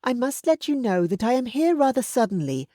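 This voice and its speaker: Woman narrator as a tart middle-aged aunt, pointed and scolding, lively, dry sound. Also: a little fast, dry recording. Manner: pointed and scolding, raised pitch.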